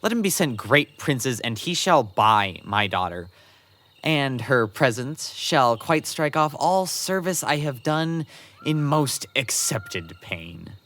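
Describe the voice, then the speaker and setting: An actor in a Shakespearean audio drama playing a pleading father, solemn and measured. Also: medium pitch, very animated, a bit bright. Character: Calchas, pleading father bargaining for his daughter.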